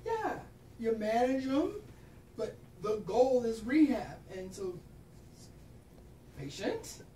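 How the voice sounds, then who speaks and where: A woman doctor from Kansas leads an informal session for clinicians, checking in with "right?" and laughing at herself as she goes. She sounds set back from the microphone in a reverberant room. Steady background noise runs under her voice. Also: a little high, slow. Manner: frequent tag questions, laughs at herself. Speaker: pain management doctor. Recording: roomy echo, distant mic, noticeable background noise.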